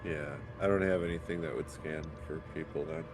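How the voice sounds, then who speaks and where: A man's voice: rumbling low, fairly even intonation, fairly quick, background noise. A man playing a tabletop game, chatting casually in a wry, folksy way.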